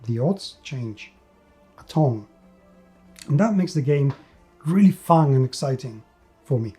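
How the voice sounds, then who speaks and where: A man giving a conversational tabletop game review, emphatic, with repetition and dramatic pauses. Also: mid-range voice, expressive, warm tone. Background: background music.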